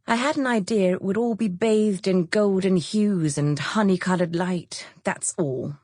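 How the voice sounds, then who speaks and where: A woman's voice: medium pitch, a little slow, a little mellow, dry recording, voice pushed up, close to an everyday voice. A woman voicing a witty, mischievous bohemian female friend in an audiobook, with a dry, teasing, theatrical drawl. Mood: petulant, reluctant. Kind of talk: audiobook character dialogue.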